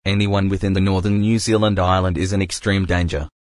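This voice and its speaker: A man's voice: low voice, little pitch movement, a little fast, dry recording, studio quality. A man giving a scripted emergency broadcast announcement in a formal, impersonal, synthetic-sounding way.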